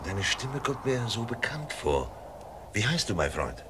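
An actor playing a weary, earnest and protective blind traveller in a German audio drama. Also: male voice, on the low side, sing-song, measured pace, bright, forceful tone, a little hiss or hum, full character voice. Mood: probing, insistent.

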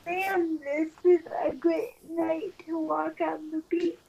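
A woman playing an affectionate young girlfriend in an amateur ASMR roleplay, speaking in a soft, drawn-out way. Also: very high voice, flat delivery, gravelly, slight background noise, exaggerated voice. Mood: curious. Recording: muffled lo-fi playback.

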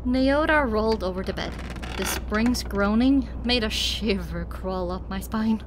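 A woman's voice: medium pitch, a little slow. A woman narrating a scripted first-person story as an audiobook reader. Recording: clearly noisy background.

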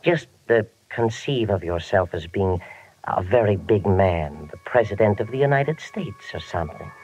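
A man's voice: fairly low pitch, lively intonation, normal speed, a little mellow, close to an everyday voice. An actor playing a fussy, sadistic henchman in a 1950s radio detective drama, savoring his precise words. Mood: silky menace. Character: creepy, gleeful henchman, ex lab technician, fussy and sadistic. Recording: noisy, muffled 1950 radio recording.

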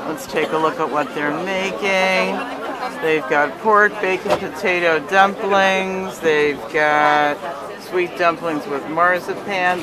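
A woman hosting a cheerful, chatty walking tour of an outdoor Christmas market, filmed on a handheld phone camera. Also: lowish voice. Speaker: travel agent and host. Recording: busy crowd noise around. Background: crowd chatter.

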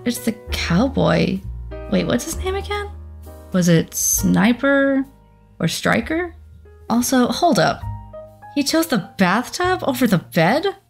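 A woman plays a vain demon popstar in a cartoon comic dub. She drawls slowly and sardonically, with a sultry creak in her voice. Music plays behind her. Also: a little high, big pitch swings, heavily acted. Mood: wry.

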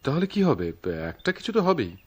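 A man's voice: a little high, wildly swooping pitch, brisk, darkish tone, slightly rough, exaggerated voice. A man with a Bangladeshi accent acting a reassuring young man in love in a radio drama, patient and a little theatrical. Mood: reassuring, playful. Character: reassuring young man eloping with his sweetheart.

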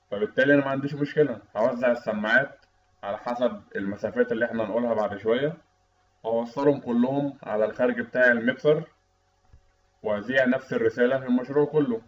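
A man's voice: medium pitch, fast. Egyptian man lecturing, dull lo-fi sound. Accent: Egyptian Arabic. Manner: didactic, asks and answers own questions. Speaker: instructor. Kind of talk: explanatory technical lecture over slides. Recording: dull, lo-fi voice-over recording.

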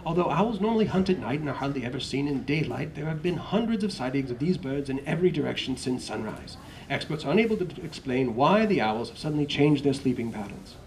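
A man's voice: medium pitch, lively intonation, darkish tone, a little hiss or hum, voice pushed up, close to an everyday voice, rough recording. A man voicing a formal TV newscaster reading an odd story, in a read-aloud storybook. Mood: newsy. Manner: measured news-reading delivery.

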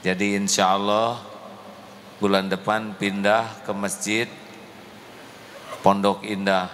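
A middle-aged man gives a mosque sermon at an unhurried, measured pace, leaning into emotional passages for the gathered congregation. His voice carries long reverb from a large hall over a faint hum of ambience. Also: lowish voice, little pitch movement, fairly bright tone. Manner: slow, deliberate, emotive, addresses the congregation. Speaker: preacher. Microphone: handheld microphone.

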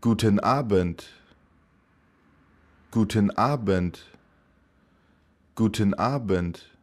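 Very slow, low-voiced male language teacher. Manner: says each phrase three times, slow and clear. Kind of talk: slow repeated vocabulary drill.

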